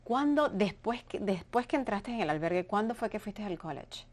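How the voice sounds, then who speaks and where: A woman's voice: mid-range voice, lively intonation, moderate pace. A woman hosting an interview, who encourages her guest and sums up what she has said. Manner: encouraging, recaps the guest's words. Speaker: podcast host.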